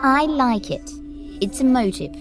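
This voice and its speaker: An actress playing a composed, gracious woman who gently guides a grieving poet, speaking with formal, gently wry phrasing in an audio drama. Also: high voice, animated, a little slow. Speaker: Victoria. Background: music score.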